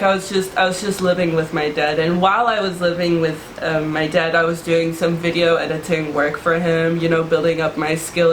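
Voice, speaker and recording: A woman's voice: deep voice, a little hiss or hum. A young woman from Taiwan in a casual interview, talking loosely with lots of "like" and restarts. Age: young adult. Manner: rambling, many "like" fillers and restarts. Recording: echoey room.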